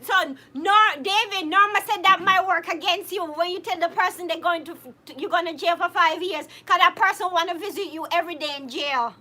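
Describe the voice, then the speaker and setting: A young woman from Jamaica, co-hosting a casual live chat and reading viewer comments aloud. She laughs often and talks in an animated way. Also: very high voice, a little fast, hardly any pauses.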